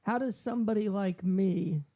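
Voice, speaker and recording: A man co-hosting a podcast in casual chat, with a high squeaky voice and a joking, self-deprecating manner. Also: moderate pace, warm tone. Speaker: podcast co-host.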